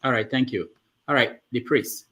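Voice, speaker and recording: A man hosting a panel discussion, who speaks in a lively, emphatic way. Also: medium pitch, normal speed. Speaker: host.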